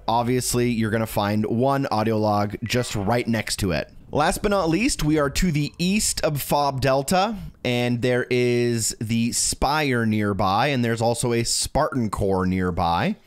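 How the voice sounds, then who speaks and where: A man narrating a video game walkthrough in a casual, instructional way, talking directly to the viewer. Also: medium pitch. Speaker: video game guide creator.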